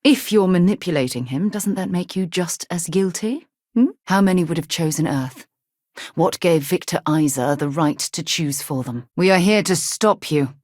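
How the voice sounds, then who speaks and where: Earnest, articulate woman explorer, low-voiced. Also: moderate pace, no room sound, clean studio sound. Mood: stern. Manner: articulate, formal, emotionally expressive. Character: earnest, principled woman explorer and leader, formerly navy. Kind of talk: video game dialogue acting.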